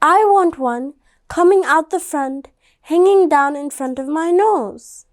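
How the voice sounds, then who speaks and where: A woman's voice: high-pitched, slow pace, pitched above the performer's own voice. A woman voicing a stubborn, defiant young schoolgirl in a children's storybook, with an emphatic delivery. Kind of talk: children's picture book read-aloud.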